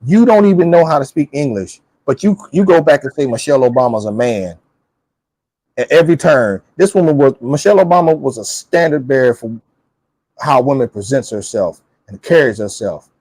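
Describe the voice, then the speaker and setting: A man's voice: mid-range voice, lively intonation, fairly quick, a little mellow, dry recording. A man in an opinionated, casual political chat, speaking bluntly and colloquially, emphatic and often repeating his phrases for effect.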